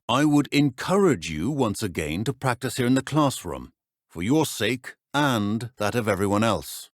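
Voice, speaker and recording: An actor playing a stern, middle-aged wizard professor in a video game, speaking formal British English in a measured, dry manner. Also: male voice, medium pitch, dry recording, lightly acted. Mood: dry, encouraging. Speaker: professor character in a fantasy game. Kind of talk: scripted video game character dialogue.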